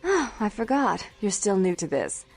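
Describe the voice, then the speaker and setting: A woman's voice: mid-range voice, very animated, normal speed, poor audio. A woman voicing a haughty, controlling vampire nightclub owner in a video game, prim and condescending. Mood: businesslike, imperious. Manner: prim, condescending, formal diction. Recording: music behind.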